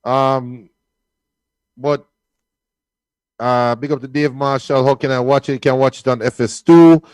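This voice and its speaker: A man's voice: medium pitch, fairly even intonation, a little slow, darkish tone, smooth, dry recording, poor audio. A man giving casual match analysis as co-commentator, often repeating the start of his phrases. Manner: repeats phrase openings as he makes points.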